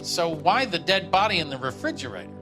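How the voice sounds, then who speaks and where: An actor playing a blunt, skeptical police captain in a dramatic video game, with wry, emphatic authority. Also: male voice, on the high side, sing-song, a little fast. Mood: skeptical, questioning. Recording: background music behind the voice.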